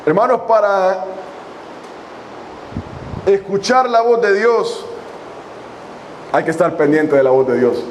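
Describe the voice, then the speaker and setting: A man preaching a sermon as a pastor, reading scripture slowly and addressing his congregation with long pauses. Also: sits high, slight background noise, low-quality recording. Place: church hall.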